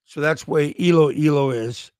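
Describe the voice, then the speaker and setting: A man from Alaska hosting a casual livestream, chatting with viewers in a halting way and often repeating and restarting his words. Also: fairly high pitch, a little mellow, clear, resonant tone, no echo. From Alaska, USA. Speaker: livestream host. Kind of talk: casual live-chat talk reading viewer comments.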